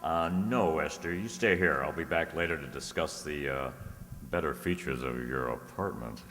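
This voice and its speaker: An actor playing a cool, shrewd, wry private detective in a radio play. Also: male voice, extremely low pitch, moderate pace, fairly bright tone, echoey room, long reverb tail, a little hiss or hum. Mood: wry, placating.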